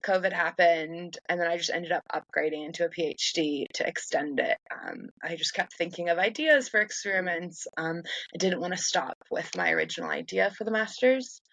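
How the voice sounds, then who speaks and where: A woman's voice: fairly low pitch, animated, fairly quick, creaky, a bit bright, no room sound, low-quality recording. An American woman in her late twenties, a guest researcher speaking from home, chatty and loose with many ums and likes. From United States. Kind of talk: casual interview talk.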